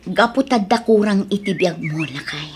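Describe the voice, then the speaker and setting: A woman's voice: mid-range voice, normal speed, breathy edge, close to an everyday voice. A woman playing a patient but reproachful, devout wife in an audio drama, plain and pleading, with an Ilocano accent.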